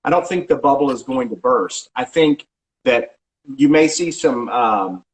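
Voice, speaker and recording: A middle-aged man working as a real estate agent, answering interview questions in a casual, halting way with frequent restarts and filler sounds. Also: medium pitch, lively intonation, poor audio. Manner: halting, many restarts and ums.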